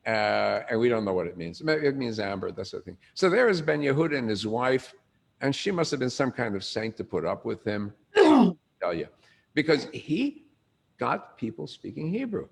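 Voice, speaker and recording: An older man's gravelly, mid-pitched voice carries an informal lecture. He talks like a storyteller, puts questions to the room and then answers them. His emphasis jumps up and down from phrase to phrase. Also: sing-song, normal speed.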